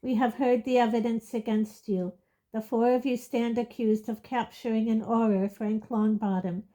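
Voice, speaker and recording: A woman's voice: a little high, lightly acted, poor audio. A woman voicing a harsh middle-aged male judge who bellows his verdicts. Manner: loud, cold, bellowing.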